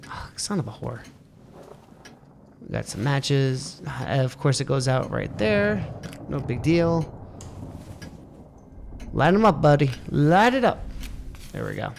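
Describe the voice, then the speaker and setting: A man with a gravelly, fry-heavy voice plays through a spooky video game, reading its text aloud and grumbling at the controls. He curses now and then at what happens on screen. A faint hiss sits under his voice. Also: medium pitch. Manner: muttering, swears at the game, reads on-screen text in a flat voice. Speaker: let's play gamer. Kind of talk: casual let's play commentary, reading game text aloud.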